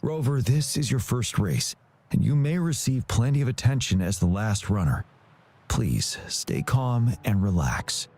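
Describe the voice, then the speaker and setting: Composed, courteous general, expressive delivery. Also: male voice, medium pitch. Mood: composed, formal. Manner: composed, formal, measured. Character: calm, courteous young general, steady and reassuring. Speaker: military general. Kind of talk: video game voice acting.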